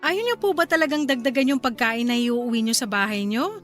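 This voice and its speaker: A woman's voice: a little high, lively intonation, brisk, fairly bright tone. An actress playing a caring young wife and mother in a radio drama, sweet and polite to her elders. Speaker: wife character. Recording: faint music bed behind.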